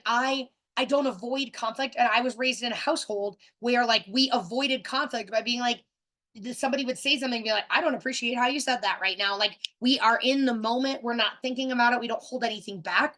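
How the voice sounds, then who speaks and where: A woman with a somewhat high voice hosts a casual webinar. She speaks directly and with big energy, often restarting her sentences and padding them with fillers. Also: fairly bright tone. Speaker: webinar host. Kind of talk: casual teaching talk.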